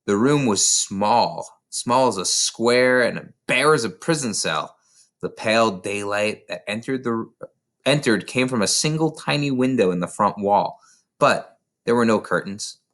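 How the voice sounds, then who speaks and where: A man reads a children's novel aloud as an expressive storyteller. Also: lowish voice, unhurried. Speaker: narrator, reader of a children's novel.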